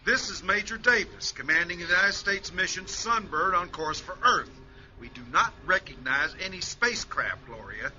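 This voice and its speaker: An actor playing a stern astronaut major who talks in clipped radio protocol. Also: male voice, sits high, expressive, moderate pace, sharp tone, dull audio, slight background noise, heavily acted. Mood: commanding. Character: stern male astronaut major commanding a spacecraft. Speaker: astronaut commander. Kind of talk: radio drama acting.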